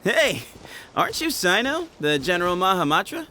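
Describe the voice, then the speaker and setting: A man's voice: high-pitched, very animated, moderate pace, bright, forceful tone, a little hiss or hum, exaggerated voice. A man voicing a cocky, teasing young gang leader in a video game, breezy, sly and theatrical.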